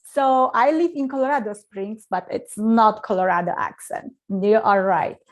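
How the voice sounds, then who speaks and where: A woman from Poland with a Polish accent, a talk show guest telling her life story in an effusive, animated way. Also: medium pitch, moderate pace. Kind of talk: interview storytelling.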